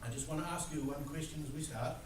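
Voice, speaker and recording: An older man with a New Zealand accent giving a relaxed, joking lecture to students, with plenty of "uh" fillers. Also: on the high side, quick pace. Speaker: guest speaker. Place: large room. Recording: echoey room recorded from far off, noisy.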